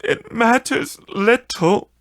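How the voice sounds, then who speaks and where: A man voicing a gentle, sorrowful young Victorian woman in an audiobook, speaking in a deliberate, drawn-out way. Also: very high voice, vocal fry, dry recording, clean studio sound, voice pushed up, heavily acted. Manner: soft, deliberate, drawn-out phrasing. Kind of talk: audiobook character voice.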